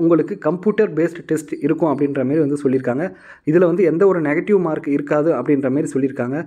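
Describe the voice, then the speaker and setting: A man's voice: on the high side, a little mellow, highs cut off. A man presenting an informal explainer to viewers in a polite, instructive and repetitive way. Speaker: host of a job news channel.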